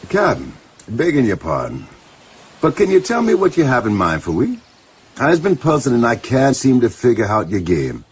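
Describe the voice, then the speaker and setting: A man's voice: medium pitch, wildly swooping pitch, moderate pace, slightly rough, full character voice. An actor playing a loyal, wary boatman with a Caribbean accent in a radio drama, folksy and deferential in manner.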